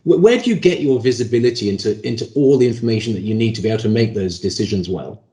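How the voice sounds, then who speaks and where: An echoey recording of a male interviewer with a low voice. He talks quickly and conversationally as he frames his questions in a business interview. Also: animated, dark tone. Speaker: interviewer, host. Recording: roomy, echoing voice pickup.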